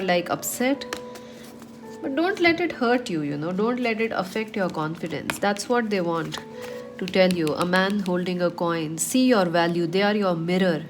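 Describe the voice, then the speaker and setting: Woman with a stuffy cold, reading tarot. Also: medium pitch. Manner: chatty, many fillers, addresses viewers as friends. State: nasal from a cold. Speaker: tarot reader.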